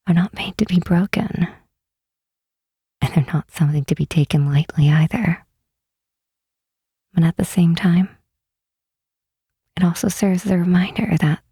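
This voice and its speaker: A woman playing a gentle, wistful demon queen in a soft ASMR roleplay, speaking slowly and tenderly in a breathy, hushed voice. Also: on the low side, no echo, clean studio sound, exaggerated voice. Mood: wistful, solemn. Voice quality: breathy, whispery. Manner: unhurried, hushed, tenderly reassuring.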